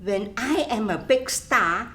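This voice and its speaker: Actress playing a sassy, high-pitched Singaporean dancing girl. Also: expressive, takes it slowly, a bit bright. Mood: indignant. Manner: teasing, blunt, Singlish phrasing. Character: sassy, big-hearted nightclub dancing girl with star ambitions. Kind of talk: radio play acting.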